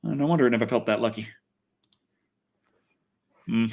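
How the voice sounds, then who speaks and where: A man's voice: mid-range voice, normal speed, creaky, a little mellow. An American man from Texas hosting a casual, rambling livestream, thinking aloud, swearing freely and poking fun at himself. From Texas, United States. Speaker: livestream host and video reviewer. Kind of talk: casual rambling livestream chat answering viewers.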